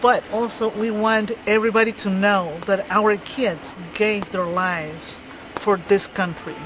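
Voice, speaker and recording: A man's voice: high-pitched, fairly even intonation, a little slow, noisy background. A man giving earnest, plainly worded answers in an interview. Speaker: interviewee, bereaved parent.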